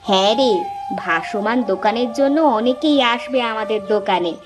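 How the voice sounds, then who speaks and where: A woman voicing a feisty, enterprising village wife in a cartoon, with an assertive, expressive manner. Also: on the high side, moderate pace, over music, close to an everyday voice. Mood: scheming, keen. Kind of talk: Bengali moral-story cartoon acting.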